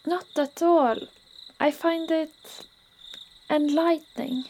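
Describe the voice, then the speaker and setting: An actress playing a reserved young woman in a radio play, speaking softly and quietly. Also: sits high, fairly even intonation, takes it slowly, slight background noise. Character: young woman guest at a men's banquet, reserved.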